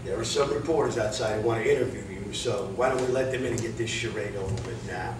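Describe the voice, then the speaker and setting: A man's voice: fairly low pitch, quick pace, lightly acted. Man playing a blunt boxing manager, echoey room. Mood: blunt, resigned. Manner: blunt, cautionary, matter-of-fact. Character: world-weary, blunt boxing manager, lecturing his fighter. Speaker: actor in a staged reading. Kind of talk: staged reading of a boxing drama. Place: live staged reading in a roomy indoor space. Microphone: distant video camera. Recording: echoey, noisy room, distant camera microphone.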